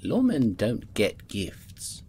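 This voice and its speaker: A woman playing a loyal, dogged female constable who narrates her case in an earnest, brisk way. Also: a bass voice, huge pitch swings, dark tone, slightly rough, heavily acted.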